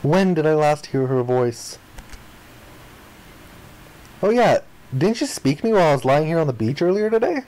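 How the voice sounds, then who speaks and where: A man reading the narration and dialogue of a visual novel aloud in an expressive style. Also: fairly high pitch, moderate pace, long pauses, poor audio.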